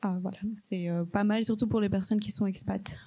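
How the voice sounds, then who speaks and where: Warm-toned woman panelist, calm and informative, a few fillers. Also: mid-range voice, brisk, creaky. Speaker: EU Parliament staff panelist. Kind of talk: answering questions at an info session.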